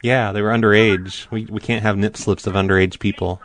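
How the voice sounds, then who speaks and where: A man's voice: on the low side, steady tone, a little fast, a little hiss or hum, natural voice. A man playing a pushy, rude photo lab clerk on a prank phone call, stammering and bluntly repeating himself. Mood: matter-of-fact accusing. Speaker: prank caller.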